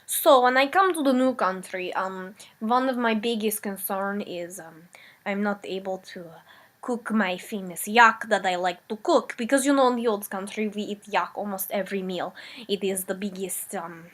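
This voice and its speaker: A woman's voice: medium pitch, normal speed, lightly acted. A woman doing Olga, rambling old-country immigrant. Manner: broken English, meandering, deadpan comic storytelling. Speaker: comedic character. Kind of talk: improvised comic character monologue.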